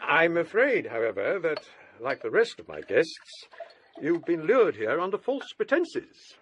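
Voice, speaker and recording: A man playing a genial, older English provost who tells ghost stories in a courteous, measured, donnish way in a radio drama. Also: fairly high pitch, big pitch swings, dull audio, slight background noise. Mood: genial, teasing. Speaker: actor. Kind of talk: radio drama acting and storytelling.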